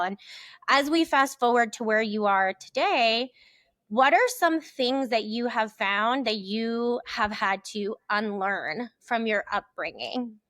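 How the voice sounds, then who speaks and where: A woman's voice: mid-range voice, fairly bright tone, no echo. A woman co-hosting an interview podcast, chatting casually and filling with "like". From United States. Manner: chatty, lots of "like". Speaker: podcast co-host.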